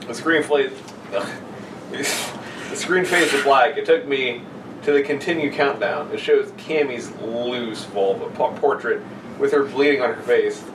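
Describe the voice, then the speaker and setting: A man co-hosts a casual podcast with crude, sarcastic humor, slipping between chatter and mock story reading. His voice sits at a middling pitch. The recording is roomy and distant, with clear noise behind him. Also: a little slow. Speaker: podcast co-host. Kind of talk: casual joking chat and mock reading of an internet horror story. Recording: roomy, noisy, distant microphone.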